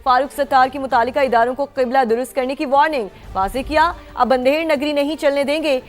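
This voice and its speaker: A woman's voice: high voice, fairly even intonation, brisk. A woman with a Pakistani accent reading scripted TV news as an anchor, with emphatic headline delivery. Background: news music bed.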